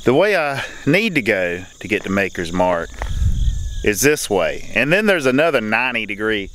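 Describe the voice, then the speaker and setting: A man in his forties, a truck driver, talking excitedly to the camera through a headset mic as he works out a tight route on country roads. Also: fairly low pitch, moderate pace, slight background noise. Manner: excited, talks straight to camera. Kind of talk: casual vlog narration. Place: outdoors on rural roads and inside a truck cab.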